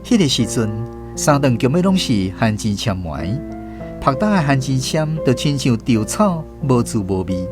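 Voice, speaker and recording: A man's voice: medium pitch, big pitch swings, normal speed, music in the background. A man with a Taiwanese accent narrating a scripted storybook radio drama.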